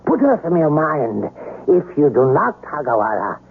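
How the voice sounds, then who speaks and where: An older learned doctor in a vintage radio play, husky and fairly high for a man. He speaks formally and theatrically, with a very mobile melody. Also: very animated, moderate pace, exaggerated voice. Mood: grave warning. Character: grave older learned doctor, cautioning friend. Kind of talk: 1970s radio drama acting. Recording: muffled vintage radio broadcast.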